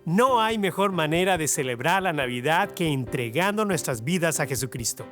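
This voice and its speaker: A man's voice: on the high side, animated, normal speed. A man reading scripted promotional narration as the announcer of a religious program. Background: music.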